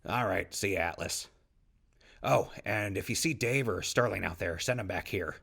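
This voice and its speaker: An actor playing a friendly, joking wasteland survivor in an audio drama, casual and slangy with a gravelly voice. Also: male voice, on the low side, moderate pace, fairly bright tone, full character voice. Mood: mildly wary. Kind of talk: post-apocalyptic audio drama acting.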